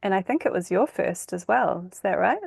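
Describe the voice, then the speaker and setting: Woman podcast host, encouraging, slight vocal fry, dry close sound. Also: medium pitch, expressive, brisk, darkish tone, dry recording. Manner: encouraging, affirming listener, laughs easily. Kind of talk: casual interview.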